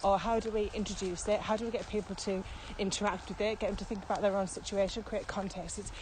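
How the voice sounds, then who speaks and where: A woman's voice: mid-range voice, steady tone, quick pace. A middle-aged woman explains things in a casual, conversational podcast style. She is emphatic and works through each point step by step. She is recorded outdoors on a walk, with faint ambient noise behind her. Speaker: podcast co-host and experienced trainer. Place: outdoors, walking. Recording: outdoor walking recording, clear background noise.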